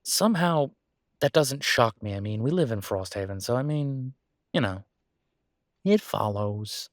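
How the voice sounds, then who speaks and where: A man's voice: medium pitch, expressive, a little slow, dry recording, clean studio sound, natural voice. A man playing a caring, easygoing boyfriend in a roleplay audio drama, speaking casually and reassuringly, with small hesitations. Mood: casual explaining. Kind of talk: roleplay audio drama, one-sided intimate conversation.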